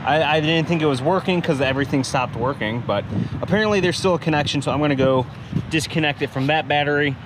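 A man's voice: a little high, fast talker. Man narrating DIY work, noisy outdoor recording. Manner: informal, thinking aloud while working. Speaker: DIY how-to video host. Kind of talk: casual hands-on tutorial narration. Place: outdoors beside a vehicle in daylight. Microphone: action camera held or mounted near him. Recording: clear outdoor background noise on action camera.

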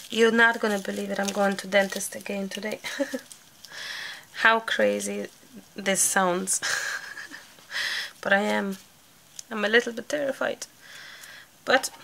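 A woman, slightly hoarse, chatting casually while she works through a crafting tutorial. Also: medium pitch, a bit bright, slight background noise. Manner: chatty, thinking aloud, light laughs. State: slightly hoarse from a sore throat. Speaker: craft channel host.

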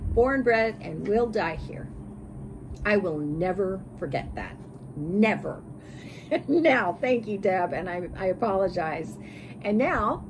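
A woman in her sixties with an American accent talks casually to the camera like a vlogger. Her pitch swings widely and her delivery is expressive and giggly. There is clear ambient noise behind her voice. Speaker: sewing vlog host. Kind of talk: casual chat to camera, reading out viewer comments. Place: bright curtained porch or sunroom.

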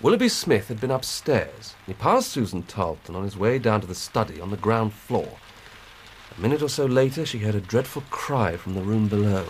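An English actor playing an earnest, plain-spoken police inspector in a radio drama, reporting methodically and emphatically. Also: male voice, lowish voice, very animated, brisk, a little hiss or hum. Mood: earnest, matter-of-fact. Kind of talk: 1990s British radio drama acting.

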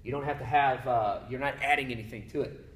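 A man's voice: mid-range voice, fast talker, a bit bright. A man gives a casual, upbeat whiteboard lesson, full of fillers and restarts. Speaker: nutrition coach. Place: indoor room in front of a whiteboard. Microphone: camera mic at a distance. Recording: echoey room with faint hum.

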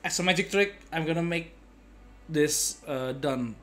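A man's voice: a little high, unhurried, a bit bright, a little hiss or hum. A man giving casual live gameplay commentary, playfully muttering and breaking into sudden exclamations. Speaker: game streamer. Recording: game music faintly behind.

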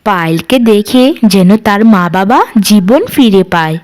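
A woman's voice: medium pitch, moderate pace, darkish tone. A woman narrator reading a scripted fairy tale for a cartoon.

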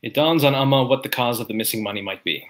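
Male stage-direction reader, even delivery, mid pitch, video call. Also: quick pace. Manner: plain, even narration.

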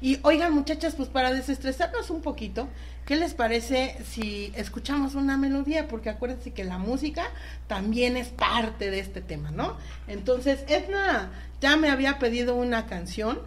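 A woman's voice: sits high, lively intonation, moderate pace, slight background noise. A woman with a Mexican accent hosting a chatty radio show. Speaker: radio host.

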